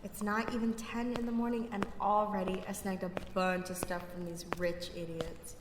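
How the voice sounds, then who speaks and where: A woman's voice: medium pitch, flat delivery, moderate pace, echoey room, long reverb tail, background noise, natural voice. A woman playing a cocky, sarcastic young pickpocket outlaw in a sci-fi audio roleplay, muttering wryly to herself. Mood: smug, sly. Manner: wry, sardonic, slangy, talking to herself.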